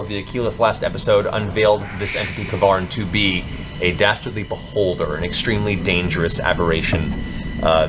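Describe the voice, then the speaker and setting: A man's voice: lowish voice, noisy background. A man narrating a tabletop fantasy game as game master, with a dramatic, descriptive storytelling manner.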